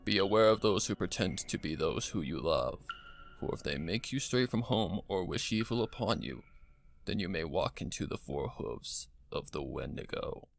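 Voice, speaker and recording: Male narrator for dramatic horror fiction, lower register, light music bed underneath. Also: lively intonation, moderate pace, vocal fry. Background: background music.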